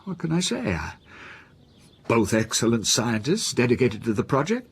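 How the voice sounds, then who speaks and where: A man's voice: medium pitch, big pitch swings, normal speed, breathy edge. An actor playing an anxious, defensive university professor in a radio drama, hesitant and flustered as he protests. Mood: defensive, uneasy. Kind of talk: naturalistic radio drama acting.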